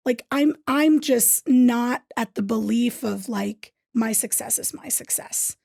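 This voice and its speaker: Earnest woman, gravelly edge. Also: on the high side, slow, darkish tone, no echo, studio quality. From Thailand. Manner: earnest, says "right?" often. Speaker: interview guest, business owner. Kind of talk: casual interview talk.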